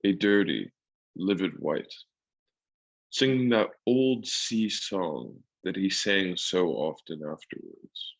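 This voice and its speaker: Low-pitched male actor as solemn adventure narrator. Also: expressive, creaky, full character voice. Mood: descriptive. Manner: measured, literary storytelling. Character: grown man solemnly recalling his boyhood adventure. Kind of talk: scripted radio drama narration. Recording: dull lo-fi audio.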